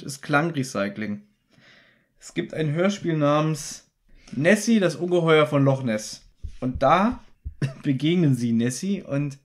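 A man with a slightly high voice, co-hosting a casual podcast. He retells a radio play's plot scene by scene in a chatty, explaining way. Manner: retells plot in detail, animated when joking. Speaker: podcast co-host. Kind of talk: casual chat reviewing a radio play.

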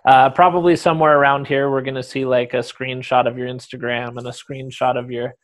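A man's voice: mid-range voice, level tone, brisk. A young man hosting a casual interview over a video call, talking in a chatty, rambling way with restarts. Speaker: podcast host. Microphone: headphones on video call.

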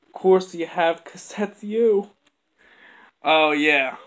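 Quiet, halting toy-show voice. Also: mid-range voice. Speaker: home video toy show maker. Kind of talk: plush toy skit with puppet dialogue. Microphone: camera on a bedroom floor.

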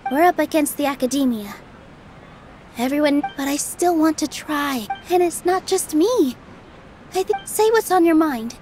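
A woman voicing a gentle young dancer, shy but determined, soft-spoken, polite and a little hesitant. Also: high voice, animated, moderate pace. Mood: earnest. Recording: game audio with music behind.

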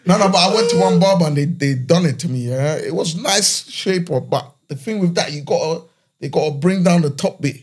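A man's voice: fairly high pitch, brisk, slightly rough. A man in casual podcast chat, joking and teasing in slang, in a studio.

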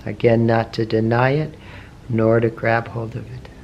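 Male meditation teacher, mid-pitched, slow and gentle, faint background hiss. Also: flat delivery, muffled recording. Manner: slow, soft, gentle questioning with long pauses. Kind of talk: guided meditation.

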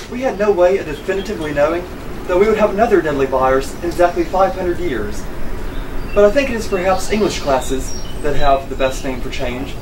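A teenage boy giving a formal, reflective graduation speech at a podium on an indoor stage. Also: on the high side. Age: teens. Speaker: graduating student speaker. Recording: distant mic with air-conditioning hum.

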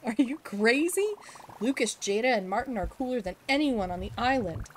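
A woman's voice: on the high side, animated, moderate pace, slight background noise, natural voice. A woman doing a squawking nervous duck kid. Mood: upset. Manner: anxious, defensive, squawks.

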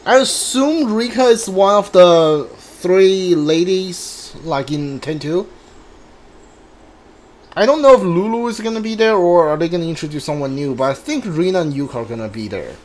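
A man's voice: high voice, lively intonation, normal speed. A man giving casual playthrough commentary on a game, musing aloud and asking himself questions. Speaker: game streamer.

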